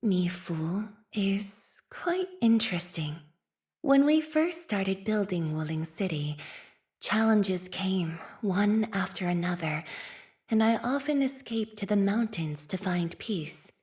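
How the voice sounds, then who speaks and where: Unhurried, soft-voiced, composed young scientist. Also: female voice, medium pitch, animated. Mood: wistful, tender. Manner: measured, formal, thoughtful phrasing. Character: composed, earnest woman scientist and leader, gently wistful. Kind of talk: video game character voice lines.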